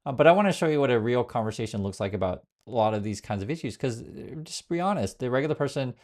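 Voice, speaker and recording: A man's voice: mid-range voice, expressive, quick pace, darkish tone. A man born in Vietnam hosting a casual commentary channel, rambling with many fillers and reading viewer comments aloud. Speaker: political commentary host. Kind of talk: casual direct-to-camera commentary.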